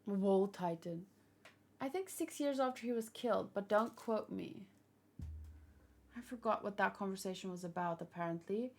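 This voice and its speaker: Woman, livestream host, medium pitch, casual fan chat, rambling, thinking aloud, tired. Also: moderate pace, darkish tone. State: tired after hours of streaming. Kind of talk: casual chat, fan theorizing to live viewers.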